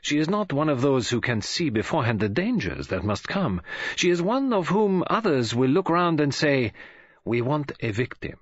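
A male narrator acts a pompous older foreign detective in an audiobook. He is formal, deliberate and sure of himself, with very lively pitch movement. The sound is slightly dull. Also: slightly rough, no room sound. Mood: grave, considered. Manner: formal, deliberate, self-assured.

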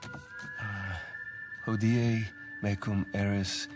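A man's voice: on the low side, level tone, drawn-out words, music in the background. A man acting a sly, enthusiastic middle-aged thief and treasure hunter in a cinematic video game, with dry, thoughtful delivery. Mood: intrigued.

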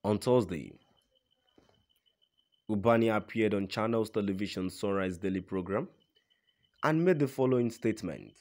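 A man's voice: fairly low pitch, normal speed, pauses often, darkish tone. A man narrating a scripted news report in a formal read-aloud manner.

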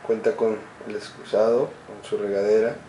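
A man giving a slow, methodical walkthrough of an empty home, listing its features room by room. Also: lowish voice, little pitch movement, dark tone, a little hiss or hum, rough recording. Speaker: home sales guide. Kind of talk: walkthrough narration.

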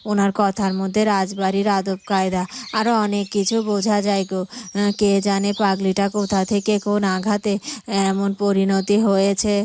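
Cartoon villager, played by a woman: sympathetic woman, medium pitch, very flat intonation, faint birdsong. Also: moderate pace, slight background noise, close to an everyday voice. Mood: pitying, musing. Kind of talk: fairytale cartoon dialogue.

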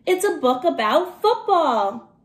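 A woman voicing a keen young boy in a children's storybook, calling out excitedly. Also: high voice, slow, pitched above the performer's own voice, heavily acted. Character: keen young boy who dreams of football stardom. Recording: roomy home recording with noticeable hiss.

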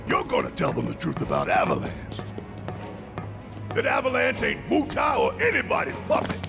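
An actor playing a gruff, hot-tempered, righteous rebel fighter in a video game, with a gravelly voice and emphatic, colloquial, menacing delivery. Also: male voice, sits high, full character voice. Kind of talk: dramatic video game cutscene acting.

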